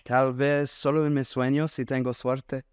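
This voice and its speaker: Smooth-voiced easygoing man. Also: medium pitch, level tone, normal speed, no room sound, clean studio sound. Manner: easygoing, agreeable, says "like" often. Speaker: podcast host. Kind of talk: casual chat.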